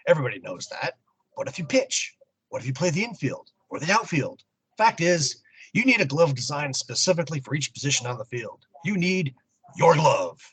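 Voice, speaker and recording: A middle-aged American man co-hosting a podcast, chatting casually in a halting, wry way. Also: fairly high pitch, big pitch swings, a bit bright, breathy edge, low-quality recording. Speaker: podcast co-host. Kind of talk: casual chat about old comics.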